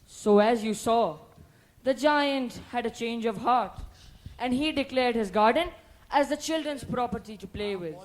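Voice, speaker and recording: A young narrator giving scripted stage narration for a school play. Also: medium pitch.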